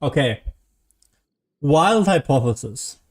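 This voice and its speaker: A man's voice: a little high, lively intonation, slow pace. A man who is a native German speaker, streaming a video game with casual, rambling, self-deprecating commentary.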